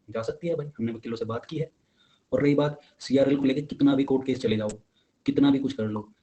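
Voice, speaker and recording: A man's voice: mid-range voice, dark tone, rough recording. A young man gives an informal spoken update to his peers, insistent and repetitive as he urges them on. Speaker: exam candidate giving updates to fellow applicants.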